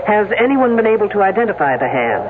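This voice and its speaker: A cool, wisecracking lady detective acted by a woman, dry in manner yet lively in inflection. The voice comes through a thin, noisy vintage radio recording. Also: medium pitch, fast, narrow, tinny audio, natural voice. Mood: cool, businesslike. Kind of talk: 1970s radio drama acting. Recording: thin band-limited old radio, noisy.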